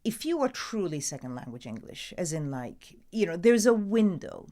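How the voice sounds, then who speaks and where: Woman, Italian accent, middle-aged, low pitch, very lively intonation, emphatic casual talk. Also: moderate pace. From Italy. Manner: animated, emphatic, laughs often. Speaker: guest, TV newsreader. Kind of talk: casual interview chat.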